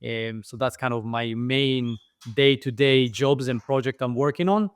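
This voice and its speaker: A man's voice: medium pitch, a little slow, clear, resonant tone, no echo. A middle-aged man with an Israeli accent, a podcast guest telling his story with many fillers and restarts. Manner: thoughtful, frequent fillers and restarts. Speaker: guest, franchise founder. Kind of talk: podcast interview.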